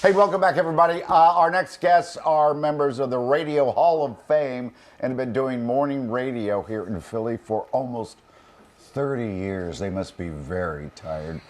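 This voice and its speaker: A man hosting a casual television talk show, playful and chatty. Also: medium pitch. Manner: playful, chatty, quick to laugh. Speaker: TV host. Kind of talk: casual studio chat. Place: TV studio.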